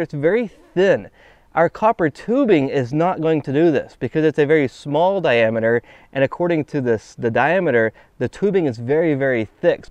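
A middle-aged man explaining HVAC ideas on camera outdoors, in a calm and patient teaching manner. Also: on the high side, dry recording. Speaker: HVAC instructor. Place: outdoors on grass by a wooden fence.

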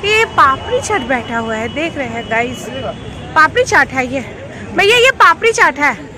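A woman's voice: high-pitched, big pitch swings, a little slow. A woman in her thirties chatting to her phone camera while walking a busy city street at night, tired from all the walking. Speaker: vlogger. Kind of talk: casual walking vlog. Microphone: phone held at arm's length. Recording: loud street noise and crowd. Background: traffic and crowd noise.

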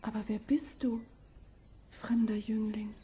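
An actress playing a gentle, innocent young captive girl in a fairy-tale audio drama. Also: mid-range voice, little pitch movement, measured pace, a little hiss or hum, natural voice. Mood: shy wonder.

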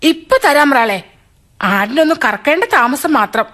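A woman's voice: high voice, animated, moderate pace, a bit bright. A woman playing a deferential, inquisitive village goatherd in a radio drama. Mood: surprised, eager. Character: curious, deferential village neighbour woman with goats.

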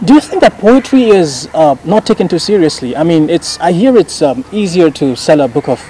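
A man's voice: on the high side, lively intonation, brisk, mellow, rough recording. A young man, a radio presenter, interviewing a guest in a garden with admiring, eager questions and frequent "mm-hmm" replies. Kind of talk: interview hosting. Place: outdoor garden. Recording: outdoor recording with faint background noise.

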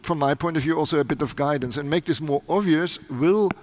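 A man's voice: fairly high pitch, fairly quick, a little mellow. Middle-aged man, earnest panel talk. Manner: earnest, with frequent restarts in non-native phrasing. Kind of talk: conference panel discussion. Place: large conference stage indoors. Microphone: headset mic.

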